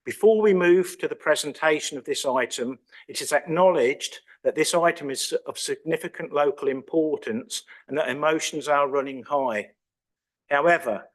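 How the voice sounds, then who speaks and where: A man chairing a council meeting, reading procedure in a measured, formal way. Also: mid-range voice. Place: council chamber.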